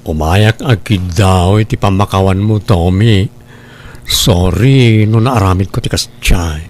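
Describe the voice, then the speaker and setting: A man voicing a remorseful young husband who pleads softly in an audio drama. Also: low voice, measured pace. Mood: pleading. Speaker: voice actor. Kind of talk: Ilocano audio drama acting.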